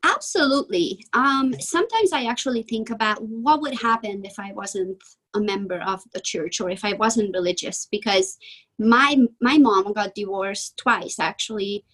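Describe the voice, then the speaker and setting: A young woman with a Hungarian accent, speaking as a guest in a podcast interview. She is candid and reflective and often softens her points with small hedges. Her voice sits at a middling pitch. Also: normal speed, poor audio.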